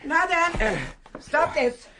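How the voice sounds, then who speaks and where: An actress playing a brisk, shrewd older English lady psychoanalyst in a 1920s murder mystery radio play, over a noisy background. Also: very high voice, animated, exaggerated voice. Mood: firm, soothing.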